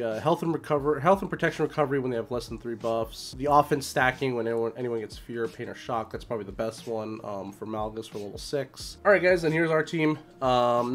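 A man in his thirties with a slightly hoarse voice, giving casual, informal strategy commentary on gameplay and explaining as he goes. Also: medium pitch. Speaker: gaming video creator. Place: small room at home.

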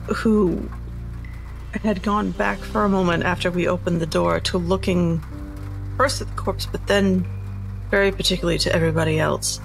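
A woman's voice: fairly low pitch, creaky, low-quality recording. A woman playing a tabletop roleplaying game, narrating what her character does as she improvises. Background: background music.

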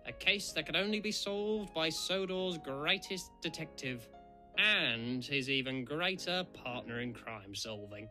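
A man's voice: fairly high pitch, lively intonation, unhurried, bright, forceful tone, soft background music, exaggerated voice. A man voicing a vain, dramatic steam engine detective in a cartoon, pompous and easily exasperated. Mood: self-satisfied. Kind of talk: cartoon comic dub dialogue.

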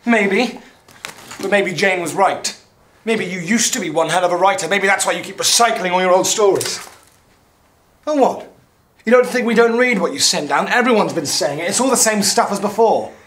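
A man's voice: high-pitched, normal speed, a bit bright, a little hiss or hum. A young man playing a blunt, complaining script office worker in a comedy soap. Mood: fed up. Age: young adult.

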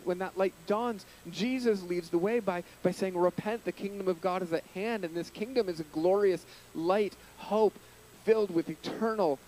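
A man's voice: a little mellow, slight background noise, rough recording. Earnest man preaching on a handheld mic. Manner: earnest, drops low at phrase ends, rises when stressing points. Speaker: pastor. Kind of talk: sermon. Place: church hall with curtains, small indoor stage.

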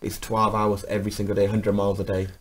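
A middle-aged man talking about training for a charity bike ride, rambling and energetic. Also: on the low side, fast talker. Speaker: charity cyclist guest. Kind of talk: studio interview. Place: TV studio.

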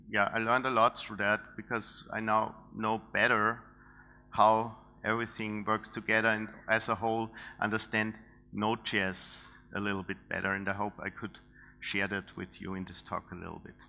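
An Austrian man in his thirties speaks from a big dark stage through a headset mic. His voice is bright and a little tense, set on the low side. He talks his way through a technical topic, thinking aloud with fillers and restarts. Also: little pitch movement, moderate pace. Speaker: conference speaker. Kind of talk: technical conference talk. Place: large dark conference stage.

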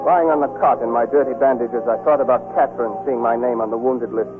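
A man's voice: medium pitch, fairly even intonation, fast talker, natural voice. Man as wry lieutenant, tinny old radio. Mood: sombre, reflective. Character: weary, wry wounded young army lieutenant, narrating. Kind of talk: 1940s radio acting and narration. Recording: tinny 1940s radio with music.

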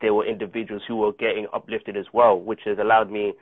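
Earnest low-voiced man on a video call. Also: level tone, fast talker, no echo. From South Africa. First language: Chichewa. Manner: earnest, reflective storytelling with many restarts. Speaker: guest actor. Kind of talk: casual interview. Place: home room on a video call. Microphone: video call with earphone mic. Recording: tinny dry phone-like call audio.

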